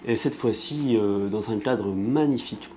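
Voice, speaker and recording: A man narrating his surf trip in a casual, relaxed way, pausing often with fillers. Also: lowish voice, slight background noise. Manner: relaxed, hesitant with many fillers.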